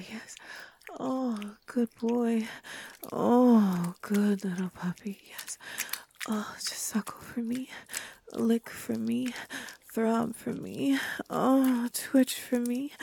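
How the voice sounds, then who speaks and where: A woman voicing a doting, motherly female creature with wings and a tail, in a soft, breathy, soothing whispered ASMR roleplay. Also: a little high, fairly even intonation, very slow, a little hiss or hum, heavily acted. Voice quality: breathy, husky.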